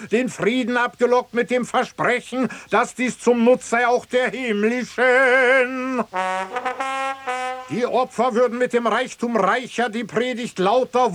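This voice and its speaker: An actor playing a pompous, greedy old priest in a classical comedy radio play, declaiming with grand rhetoric. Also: male voice, very high-pitched, fairly bright tone, heavily acted. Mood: declaiming, indignant. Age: older.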